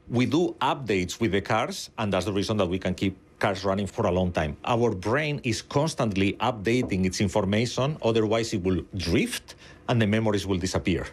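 A man's voice: lowish voice, slightly rough. A middle-aged man, a native Spanish speaker with a Spanish accent, explaining things as an interviewed neuroscientist in an opinionated way, with everyday analogies.

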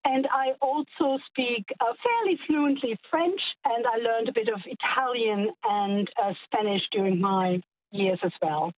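High-voiced woman on a phone line. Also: unhurried, a little hiss or hum. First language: German. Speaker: caller, academic. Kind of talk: phoned-in talkback call.

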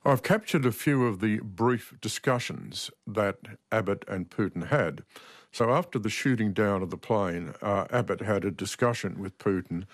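Deliberate, low-voiced man. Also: dry recording, studio quality. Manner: deliberate, emphatic, repeats phrases for stress. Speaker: interviewed author and political commentator. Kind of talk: measured interview commentary.

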